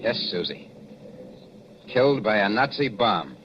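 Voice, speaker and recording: An actor playing a brisk wartime hero investigator, with urgent, commanding 1940s radio acting. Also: male voice, lowish voice, very animated. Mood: grim. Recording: dull noisy old radio broadcast.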